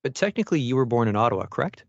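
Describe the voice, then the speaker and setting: Mid-pitched podcast host man. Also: sing-song, racing, vocal fry, no room sound, highs cut off. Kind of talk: scripted podcast introduction and interview questions.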